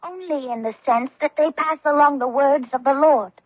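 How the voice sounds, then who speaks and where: A woman's voice: sits high, normal speed, no echo, close to an everyday voice. An actress playing a devout, steadfast teenage farm girl held prisoner, earnest and resolute, in a radio drama. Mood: quiet, steadfast. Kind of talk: old-time radio drama acting. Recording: dull, dry old radio recording.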